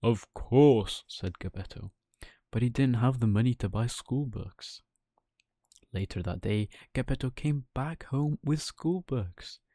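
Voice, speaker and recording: Guy telling a kids bedtime story, mellow voice, mid pitch, really dry sound. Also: animated, a little slow, no echo. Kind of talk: bedtime story narration for children.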